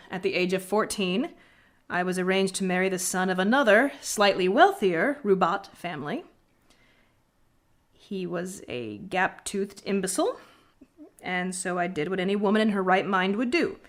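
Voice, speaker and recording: Game casting: actress for a stern female military tactician, medium pitch, sardonic, sharp-tongued, articulate, little patience. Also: animated, moderate pace, close to an everyday voice. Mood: stern, contemptuous. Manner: curt, sardonic, lecturing, articulate. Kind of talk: scripted video game character acting.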